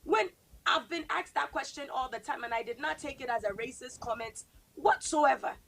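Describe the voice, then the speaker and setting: A woman's voice: sits high, normal speed, creaky, a bit bright. A woman guest pastor speaking reflectively on a call-in show, stumbling and repeating words. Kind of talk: casual call-in discussion.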